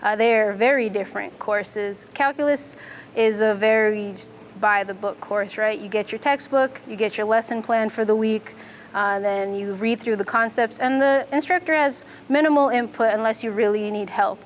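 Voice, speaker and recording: A young adult woman from Fiji, a Navy instructor, answering interview questions in a clear, methodical way. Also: a little high, normal speed, a little hiss or hum. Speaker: Navy nuclear instructor and student.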